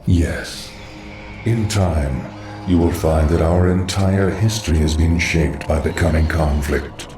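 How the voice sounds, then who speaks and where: An actor playing a scheming undead lich in a game cutscene, measured, formal and knowing. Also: male voice, rumbling low. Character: undead male lich, scheming and coldly patient. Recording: roomy echo, distant, over music.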